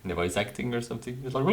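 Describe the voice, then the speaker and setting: A man's voice: medium pitch, slight background noise. A man giving casual commentary as he plays a game, musing and thinking aloud. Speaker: gaming video host.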